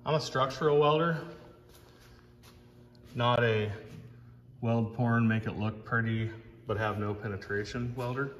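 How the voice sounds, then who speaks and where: A man's voice: mid-range voice, steady tone, a little slow, pauses often, highs cut off. A man in his thirties, a fabrication shop owner, narrating a casual shop vlog in a blunt, wry, plain-spoken way with some casual swearing. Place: large metal fabrication shop indoors. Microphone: camera mic, often across the shop. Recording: echoey shop, clear background noise.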